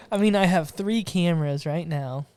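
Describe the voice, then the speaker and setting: A man's voice: high voice, no echo. Podcast guy from romania, rambly, keeps restarting, laughs a lot, just chatting in his garage. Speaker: podcast host. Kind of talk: casual chat. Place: home garage studio.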